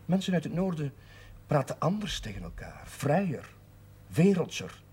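Earnest young curate, husky-edged. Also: male voice, on the high side, sing-song, moderate pace, darkish tone, exaggerated voice. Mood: defensive, insistent. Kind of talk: 1970s Dutch television drama acting.

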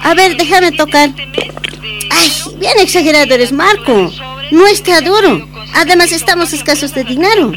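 High-pitched, exasperated Bolivian mother. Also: animated, normal speed. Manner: pleading, complaining, argumentative. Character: harried, exasperated working mother and market vendor. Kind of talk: radio drama acting. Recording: music behind the voice.

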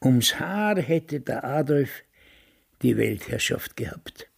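Radio drama role: very old would-be novelist, husky and creaky, pompous, nostalgic, rambling. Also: male voice, medium pitch, lively intonation, slow, heavily acted. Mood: stubbornly insistent. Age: older. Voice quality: husky, gravelly. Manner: pompous, rambling, repeats phrases. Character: stubborn, nostalgic very old Austrian man, self-important would-be novelist.

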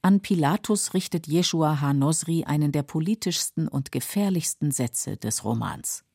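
Mellow, dry-sounding female feature narrator. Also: deep voice, lively intonation, normal speed, gravelly, no echo. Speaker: documentary narrator. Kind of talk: scripted literary feature narration.

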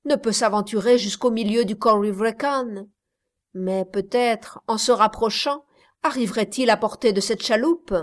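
A woman's voice: fairly high pitch, normal speed, close to an everyday voice. Woman reading as a firm ship captain. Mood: commanding. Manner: firm, commanding, declarative. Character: steady male ship captain giving orders.

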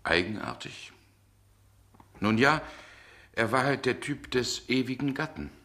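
An actor playing a brooding, world-weary middle-aged gentleman in a 1960s German radio drama, with genteel, measured diction. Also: mid-range voice, lively intonation. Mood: wry, lecturing.

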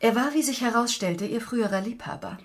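A woman's voice: on the high side, fairly quick, natural voice. A woman playing an assured, matter-of-fact police detective answering interview questions in a radio play. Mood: matter-of-fact, confident.

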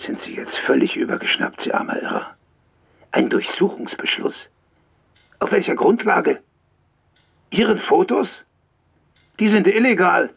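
A man acting a gruff, exasperated police sergeant in a radio drama, with a husky, brusque delivery. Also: high-pitched, sing-song, a little slow, long pauses, a bit bright, lo-fi sound, full character voice. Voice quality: husky, gravelly. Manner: brusque, scolding.

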